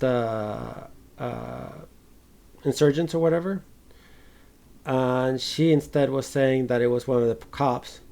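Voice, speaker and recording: A man co-hosting a film podcast, talking casually and haltingly, with some informal swearing. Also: mid-range voice, creaky, darkish tone.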